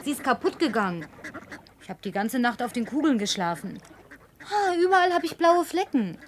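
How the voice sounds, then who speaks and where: A woman's voice: fairly high pitch, very animated, normal speed, a little hiss or hum. An actress dubbing a spoiled, lonely young city woman who talks in a playful, coaxing, teasing way. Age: young adult. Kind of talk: German film dub.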